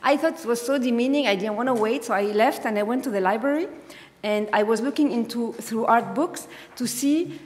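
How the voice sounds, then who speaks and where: Halting woman speaker in a reverberant hall. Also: fairly high pitch, darkish tone. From Egypt. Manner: halting, many fillers and restarts. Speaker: artist giving a symposium talk. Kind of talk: unscripted lecture with slides. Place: dark auditorium, speaking at a podium. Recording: long hall reverb, speaker far from mic.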